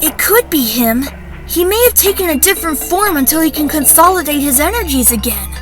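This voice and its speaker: Worried, sincere young boy fox sidekick, female actor, audio drama. Very high register over music. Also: animated, fairly quick. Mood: thoughtful. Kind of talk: audio drama character acting.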